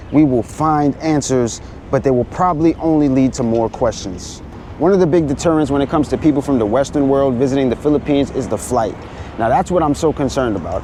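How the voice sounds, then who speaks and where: Upbeat New travel vlogger. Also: male voice, a little high. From New York. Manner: upbeat, rushed on the move. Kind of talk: casual travel vlog to camera. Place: airports and city streets. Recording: loud background noise on location.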